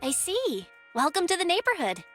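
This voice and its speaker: A woman's voice: very high-pitched, wildly swooping pitch, moderate pace, a bit bright, faint music behind, exaggerated voice. An actress playing a cautious, friendly young female manager in a game.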